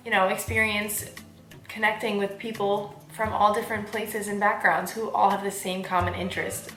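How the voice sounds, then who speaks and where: A woman in her twenties giving casual advice in a chatty vlog, with a bubbly, animated, informal manner. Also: fairly high pitch. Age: 20s. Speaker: vlogger giving application advice. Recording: roomy echo, faint background music.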